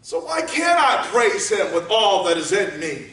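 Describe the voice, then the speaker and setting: A man preaching a sermon, moving from quiet teaching up to shouted exhortation. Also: high voice, very animated, unhurried. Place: church sanctuary. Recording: echoey church hall, voice from far off.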